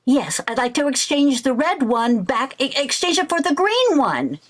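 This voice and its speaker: An excitable, scheming housewife from a vintage radio comedy, acted by a woman. Her voice is very high and swoops up and down as she exclaims theatrically. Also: normal speed. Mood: hurried. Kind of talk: old-time radio comedy acting.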